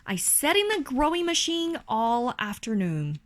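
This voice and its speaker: High, very lively Chinese-accented woman reading aloud. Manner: slow, animated storytelling for a young child. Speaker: parent reading a bedtime story to a child. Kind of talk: expressive read-aloud of a children's picture book.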